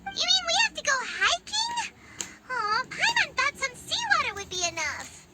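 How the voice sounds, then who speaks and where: A woman voicing a small, excitable female fairy companion in a video game, who exclaims a lot and speaks of herself by name. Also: very high voice, normal speed, heavy background noise, heavily acted. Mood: excited. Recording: loud game music behind.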